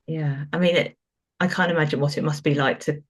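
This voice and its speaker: A woman's voice: low-pitched, steady tone, fairly quick. Chatty woman interviewing in conversation. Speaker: podcast host and interviewer.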